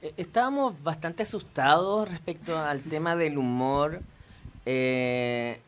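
A man's voice: on the high side, takes it slowly, slight background noise. A Chilean man, a theater actor guesting on the radio, giving thoughtful, rambling explanations. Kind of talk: radio interview.

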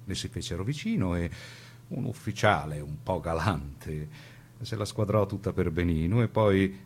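A man delivering scripted dramatic documentary narration in deliberate phrases with theatrical pauses. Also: deep voice, lively intonation, darkish tone, slightly rough.

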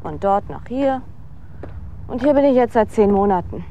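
A woman acting in a German radio drama as a sardonic, world-weary young aspiring actress with a deadpan, cynical delivery. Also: mid-range voice, normal speed, highs cut off, close to an everyday voice. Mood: bitter, deadpan. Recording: clear outdoor street and traffic noise. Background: city street ambience with vehicles.

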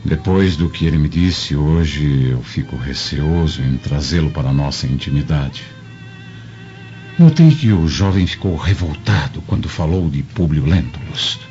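A Brazilian man playing a worried, cautious Roman patrician husband and father in a radio drama, speaking in a measured, deliberating way. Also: very deep voice, lively intonation, dark tone. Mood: concerned. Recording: dull lo-fi audio, faint music.

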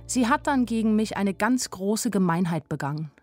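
A woman's voice: mid-range voice, lively intonation, normal speed, darkish tone, faint music behind, close to an everyday voice. An actress playing a weary, frank young woman who narrates her life in a radio play.

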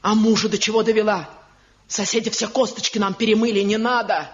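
A woman's voice: mid-range voice, steady tone, a little fast, natural voice. A woman playing a bitter, reproachful older village mother-in-law in a 1970 radio drama, on a muffled old recording. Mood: accusing, aggrieved. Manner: reproachful, nagging.

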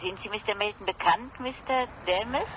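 An actress playing a prim, politely officious company receptionist on the telephone in a radio drama. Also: female voice, medium pitch, normal speed, a bit bright. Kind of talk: radio drama phone dialogue. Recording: telephone call with clear background noise.

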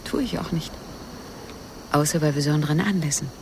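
A woman's voice: low voice, normal speed, noisy background. Wistful, guarded woman, faint background ambience. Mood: guarded, melancholy. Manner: evasive, wistful, intimate. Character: guarded, wistful widow confiding at dawn. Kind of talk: German radio crime drama acting.

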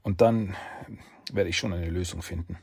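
A man's voice: deep voice, moderate pace, gravelly, a little mellow, breathy edge, heavily acted. A man voicing an earnest, respectful young male martial arts student.

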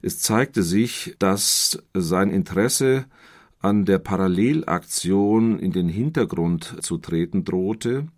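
A man giving explanatory literary commentary in deliberate, halting, formal phrases. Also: deep voice, lively intonation.

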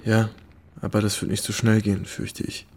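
An actor playing a weary American war reporter who reminisces candidly in a radio drama. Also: male voice, on the low side, completely flat delivery, moderate pace, darkish tone, lightly acted. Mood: hesitant.

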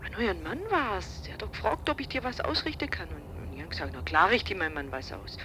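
A woman's voice: lowish voice, big pitch swings, quick pace, pushed and bright, a little hiss or hum. An actress playing a chatty, probing wife in a radio drama, hesitant and repeating herself as she talks on the telephone. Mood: nervous, insistent. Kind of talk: radio drama acting, phone dialogue.

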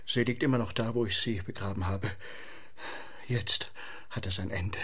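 A man's voice: fairly low pitch, fairly even intonation, moderate pace, a bit bright, husky. An actor playing an anxious, ageing father in a radio play, stammering and repeating himself as he talks. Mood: resigned, confessing. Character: flustered, anxious ageing father hiding guilt.

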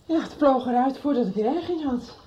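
A woman's voice: sits high, animated, a little fast, warm tone, dull audio, a little hiss or hum. A woman playing a defensive, evasive mother in a Dutch radio soap, curt and dismissive. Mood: defensive, irritated.

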